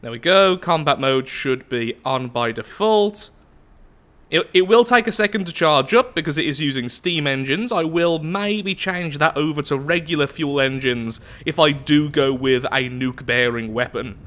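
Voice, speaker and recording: A man hosting casual gameplay commentary while sick with the flu and losing his voice, speaking in a lively, upbeat way. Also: fairly high pitch, normal speed. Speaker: gaming channel host.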